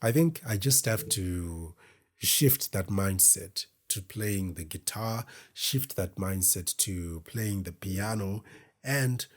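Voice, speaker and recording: A deep, low-pitched man with a husky, grainy edge speaks slowly to his camera. He rambles in a reflective, self-deprecating way, circling back to his thoughts as he goes. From Zimbabwe. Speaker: podcast host. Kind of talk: casual solo talk to camera. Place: indoors in front of a camera.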